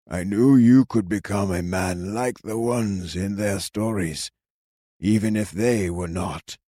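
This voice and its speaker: A man reading an audiobook, voicing a dying elderly cobbler father with a cracked, weak voice and slow, halting speech. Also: low-pitched, a little mellow, husky, no room sound, clean studio sound, heavily acted. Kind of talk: audiobook character voice.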